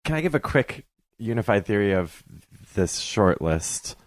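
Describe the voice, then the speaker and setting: A man's voice: fairly low pitch, sing-song, measured pace, no echo. A man speaking as a podcast guest, discussing books casually with hesitant, restarting phrasing.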